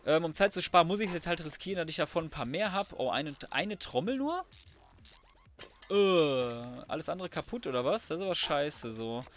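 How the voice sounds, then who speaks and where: A man's voice: on the high side, big pitch swings, normal speed, a bit bright. A man giving casual, slangy gameplay commentary as a let's player, thinking aloud to himself. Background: background music.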